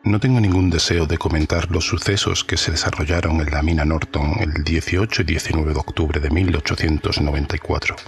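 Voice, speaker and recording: Male actor as aging, secretive ex-officer in an audio horror tale: deep, creaky, formal, measured, music beneath. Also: fairly even intonation. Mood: grave, troubled. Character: weary, secretive old former army officer recounting horror. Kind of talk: first-person audio horror storytelling. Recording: music under the voice.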